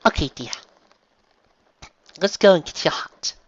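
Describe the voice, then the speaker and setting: A man voicing a refined, emotional unicorn mare who speaks softly and haltingly, in a fan story reading. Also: medium pitch, wildly swooping pitch, highs cut off. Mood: tender.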